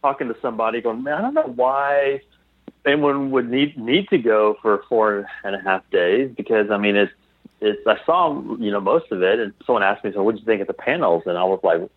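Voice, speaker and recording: A man as an interview guest, telling anecdotes casually and haltingly over a tinny remote call. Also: lowish voice, animated, no echo. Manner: halting, repeats phrases as he thinks aloud.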